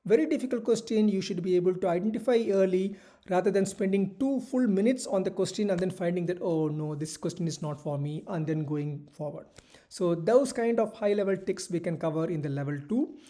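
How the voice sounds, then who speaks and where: A doctor tutoring students for medical school entrance exams, explaining a course in a measured online presentation with hesitant restarts. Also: medium pitch, dark tone.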